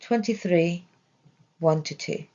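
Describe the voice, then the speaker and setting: A woman reads a scripted religious study text in a measured, deliberate way. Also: lowish voice, dark tone, lo-fi sound. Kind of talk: scripted narration, religious lesson.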